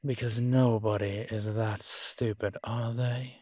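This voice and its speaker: A man's voice: on the low side, fairly even intonation, slow pace, no echo, studio quality. A man playing an ancient, proud and world-weary male vampire in an audio roleplay, talking in a wry, haughty way with old-fashioned fancy words. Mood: scornful, doubtful. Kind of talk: audio roleplay drama, one-sided dialogue.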